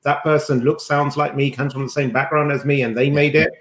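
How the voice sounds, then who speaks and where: A man's voice: a little high, fairly even intonation, fairly quick, slight background noise. A man hosting a podcast in casual conversation, with a wry, joking manner. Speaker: podcast host. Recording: echoey, reverberant room.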